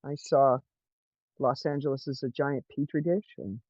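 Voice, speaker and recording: A man from California with an American accent, chatting casually in a halting, hesitant way. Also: mid-range voice, fairly even intonation, a little mellow, husky, no echo, lo-fi sound. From California, United States. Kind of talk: casual chat.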